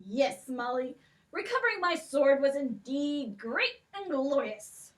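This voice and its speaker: A woman's voice: high-pitched, animated, slow, a bit bright, exaggerated voice. A woman voicing a greedy old king in a puppet show, sly and wheedling. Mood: scheming.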